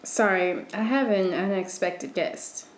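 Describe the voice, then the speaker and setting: A woman's voice: medium pitch, slow pace, a little hiss or hum, natural voice. A young woman acting in a radio drama as a kind, guarded student with a dry sense of humour. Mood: uneasy. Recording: echoey room, music behind.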